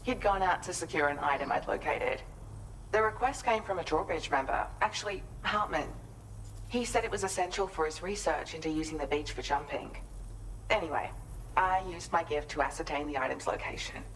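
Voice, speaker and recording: Casting: kind, grateful woman inventor for game cutscenes; lowish voice, polite and articulate, faint noise behind. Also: a little fast, a bit bright, low-quality recording. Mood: friendly, explanatory. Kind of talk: scripted video game cutscene dialogue.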